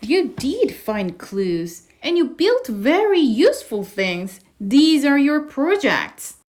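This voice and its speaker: Girl putting on a slow, measured grown-up storybook character. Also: sits high, sing-song, a little mellow, heavily acted. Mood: gently frank.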